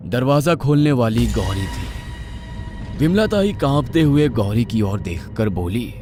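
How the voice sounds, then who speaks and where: A man's voice: mid-range voice, very animated, unhurried. A man narrating a scripted horror story in a dramatic storytelling style. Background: music.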